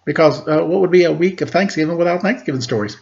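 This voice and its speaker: A man with a slightly high voice hosts a storytime for children. He speaks as a reader and casual talker to kids, and the recording sounds a little dull. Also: quick pace, gravelly. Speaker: storytime host reading to children. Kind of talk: children's story read-aloud and casual chat.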